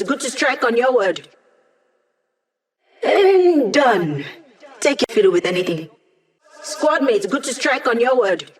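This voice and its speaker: An actress voicing a wry, sarcastic young female tech hacker in a video game, talking in a casual, teasing, quippy way. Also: mid-range voice. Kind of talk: scripted video game character dialogue.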